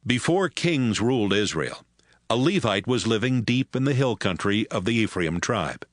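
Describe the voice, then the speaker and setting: A man narrating scripture in a steady, measured way. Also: on the low side, no room sound, clean studio sound. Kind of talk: scripted audio Bible narration.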